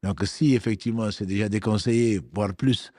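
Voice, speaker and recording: Firm low-voiced religious teacher. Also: male voice, fairly even intonation, normal speed, mellow, dry recording, studio quality. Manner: firm, explanatory, weaves in Arabic phrases. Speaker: religious teacher answering questions. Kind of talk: explaining religious rulings on a talk show.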